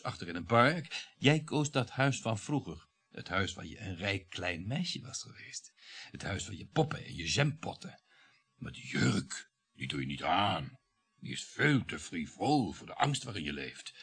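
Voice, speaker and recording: An actor playing a sardonic, irritable middle-aged surgeon and husband in a radio play, with a needling manner. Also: male voice, mid-range voice, fairly bright tone, breathy edge, heavily acted. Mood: needling, bitter.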